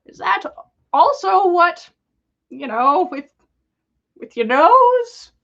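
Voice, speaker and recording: A woman's voice: very high-pitched, very animated, takes it slowly, vocal fry, lo-fi sound, pitched above the performer's own voice, exaggerated voice. A woman voicing a weary old male wizard who is dry, civil and sarcastic, in a fan fiction story. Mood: weary, awkward.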